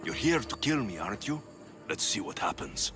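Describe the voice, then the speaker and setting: An actor playing a defiant, wry young Greek warrior hero with a dry, sardonic manner in a video game. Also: male voice, mid-range voice, big pitch swings, moderate pace, music in the background, close to an everyday voice. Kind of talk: dramatic video game cutscene acting.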